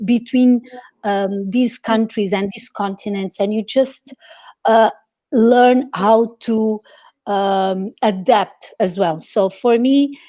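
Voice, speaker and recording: A woman from Portugal, an etiquette consultant, chatting on a video call with lively restarts. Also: fairly high pitch. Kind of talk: casual video call chat.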